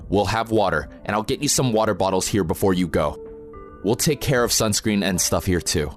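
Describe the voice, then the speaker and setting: A man's voice: on the low side, lightly acted. A man playing an experienced, protective protest organizer in an audio drama, plain-spoken, informal and matter-of-fact. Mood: firm briefing. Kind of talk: naturalistic audio drama acting.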